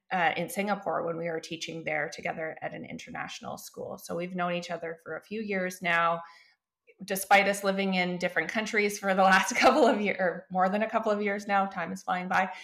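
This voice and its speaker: A woman's voice: fairly low pitch, creaky, a bit bright. A woman on a video call, a guest and co-author, talking casually with frequent fillers and laughs. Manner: chatty, laughs often, many fillers. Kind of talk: casual interview talk.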